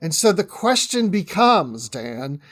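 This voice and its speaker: A man's voice: sits high, big pitch swings, slow pace, fairly bright tone. A man chatting casually as a podcast guest, thinking aloud as he goes. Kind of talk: casual chat, discussion.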